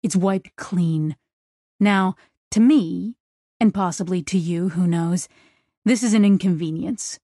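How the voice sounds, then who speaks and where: A woman voicing a sarcastic, gruff male crewman on a starship. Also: fairly low pitch, takes it slowly, dark tone, no echo. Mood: sardonic. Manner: dry, sarcastic.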